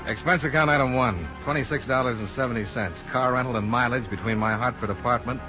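Male, actor playing brisk matter-of-fact investigator, quick pace, muffled vintage radio sound with loud music. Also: mid-range voice, close to an everyday voice. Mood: dry narration. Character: brisk, businesslike freelance insurance investigator narrating his case. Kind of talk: 1950s radio detective drama.

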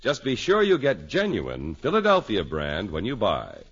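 A male radio announcer from the fifties reads a scripted pitch with hugely swooping intonation. His voice is husky, and the old recording is muffled. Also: wildly swooping pitch, normal speed, a bit bright. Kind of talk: scripted 1950s radio announcing and sponsor advertisement.

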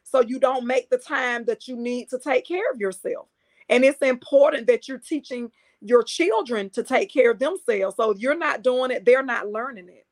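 A woman's voice: on the high side, normal speed. A middle-aged woman, a coach and speaker, talking earnestly in a podcast interview and repeating her points for emphasis. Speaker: guest, empowerment coach.

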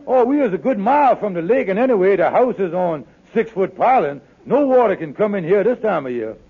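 An actor playing a wheedling, fast-talking husband in a 1950s radio sitcom, with folksy, exaggerated dialect and a coaxing manner. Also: male voice, sits high, expressive, breathy edge, full character voice. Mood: cheerful, soothing. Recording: tinny, narrow-band old radio broadcast.

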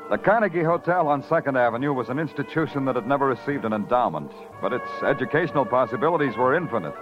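An actor playing a world-weary, hard-boiled New York detective, brooding and sardonic, in an old-time radio drama. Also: male voice, mid-range voice, natural voice. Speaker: lead detective and narrator. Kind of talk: old-time radio noir narration and dialogue. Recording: dull 1950s radio recording, loud music.

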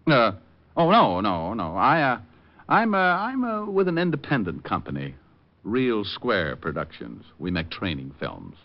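Quippy private eye, low, lively voice, dull old radio recording. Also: male voice, unhurried, full character voice. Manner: dry wisecracks. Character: wisecracking male private detective. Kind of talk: 1950s radio acting.

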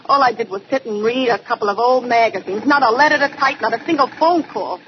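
An actress playing a chatty, slangy, wisecracking secretary in an old-time radio detective drama. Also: female voice, a little high, fast, lightly acted. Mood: sassy, griping. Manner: slangy, sassy, talkative. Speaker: actor. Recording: muffled, noisy old radio recording.

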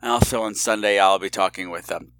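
Low, bright-voiced man with animated swings. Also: a little fast, poor audio. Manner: informal, scattered, theatrical flourishes. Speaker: podcast host. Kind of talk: casual, rambling show introduction.

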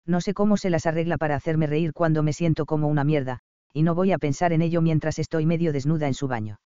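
Mellow man narrating an audiobook. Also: a little high, steady tone, fast talker, clear, resonant tone, dry recording. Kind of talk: first-person romance audiobook narration. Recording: slightly dull dry audio.

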